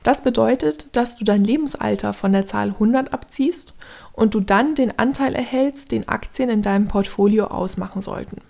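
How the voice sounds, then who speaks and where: Thirty-year-old woman with a creaky voice. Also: on the high side, moderate pace, a little mellow. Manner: structured, instructive, addressing the listener directly. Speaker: podcast host explaining personal finance. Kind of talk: scripted explanatory narration.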